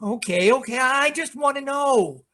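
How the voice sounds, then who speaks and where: High-pitched, childlike shell-shocked younger brother. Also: male voice, expressive, pushed and bright, full character voice. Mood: worried, confused. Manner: hesitant, halting, repeats words. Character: slow-witted shell-shocked war veteran, childlike devoted younger brother. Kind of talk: old-time radio drama acting.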